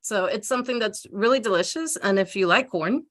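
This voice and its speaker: A woman panelist telling personal stories in a casual, animated, informal way. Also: mid-range voice, fairly quick.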